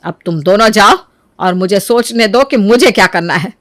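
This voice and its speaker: A woman's voice: mid-range voice, moderate pace, dry recording, clean studio sound, lightly acted. Woman acting an exasperated, scolding older mother-in-law. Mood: stern. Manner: scolding, weary, authoritative. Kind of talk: animated family story dialogue.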